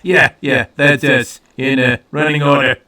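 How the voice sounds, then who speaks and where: An actor playing a folksy, excitable robot sidekick who blusters and stumbles through fancy words in an audio comedy. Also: male voice, medium pitch, unhurried. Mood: chuckling, evasive. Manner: hesitant, chuckling, mangles fancy words. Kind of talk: scripted audio comedy drama. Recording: roomy echo, distant from mic.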